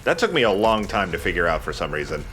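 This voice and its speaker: Deep-voiced man with a bright, forceful edge. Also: very animated, quick pace, soft background music. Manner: joking, chatty. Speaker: let's play host playing the game. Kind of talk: casual gaming commentary.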